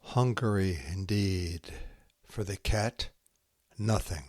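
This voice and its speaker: A man reads spare haiku at an extremely slow, deliberate pace. His voice sits fairly low, and he sets out each short phrase with care. The sound is close and dry, with very little room around it. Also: darkish tone, no room sound. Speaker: podcast host reading poetry.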